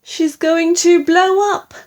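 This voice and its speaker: Storybook mum, played by a woman: frantic, wailing, pleading, pitched high. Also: lively intonation, a little slow, a little mellow. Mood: alarmed, scolding. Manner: crying out, pleading. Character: anxious, wailing mother, frantic and easily flustered.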